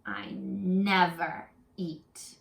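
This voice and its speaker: A woman voicing a big, hungry, sly wolf from a children's storybook, with slow, drawn-out, menacing delivery. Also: mid-range voice, fairly even intonation, gravelly, roomy sound, voice pushed down, full character voice. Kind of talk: children's storybook read-aloud.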